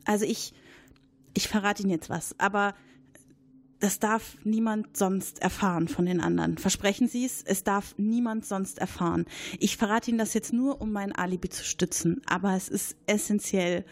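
A woman's voice: mid-range voice, steady tone, normal speed, natural voice. A woman playing a middle-aged colonel who is secretly a woman passing as a man, talking hesitantly and evasively in an improvised roleplay. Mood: confiding. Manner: hesitant, evasive, then confiding in hushed tones. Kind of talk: improvised murder-mystery roleplay.

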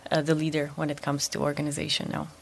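A woman's voice: low-pitched, monotone, fast talker, gravelly. A young woman raised in Russia and the United States, chatting as an interview guest with frequent ums and restarts. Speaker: event manager, guest. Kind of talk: casual interview talk.

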